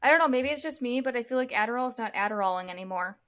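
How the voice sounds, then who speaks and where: A woman in her thirties co-hosting a casual podcast chat, relaxed and rambling as she talks. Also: fairly high pitch, very fast. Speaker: podcast co-host.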